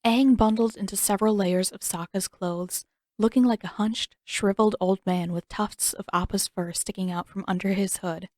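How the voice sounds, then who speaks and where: Female narrator, calm storybook reading, mid pitch, dry close recording. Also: moderate pace, no echo. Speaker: podfic reader and author.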